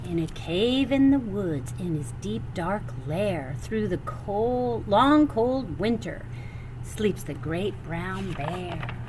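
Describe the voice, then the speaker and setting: Slow, lively woman reading a storybook. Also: mid-range voice, background noise. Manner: animated, sing-song storytelling with drawn-out refrains. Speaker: storybook reader. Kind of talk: expressive children's read-aloud.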